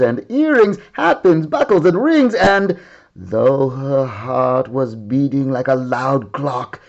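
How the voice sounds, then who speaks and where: A man reading a children's story aloud in a dramatic, deliberate, theatrical way. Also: mid-range voice, a little mellow, dull audio. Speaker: storyteller, art teacher.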